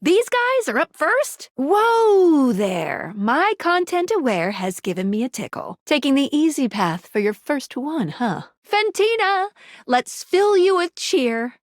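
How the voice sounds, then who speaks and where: A woman's voice: high-pitched, sing-song, unhurried, no room sound, clean studio sound. A woman voicing a perky, quirky pair of talking magic glasses, a bubbly, self-deprecating guide in a video game, with cutesy, jokey delivery. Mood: peppy, cheering, then chatty, advising. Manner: bubbly, cutesy, jokey with playful baby-talk and asides. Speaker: game guide and tutorial character. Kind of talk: animated video game character voice acting.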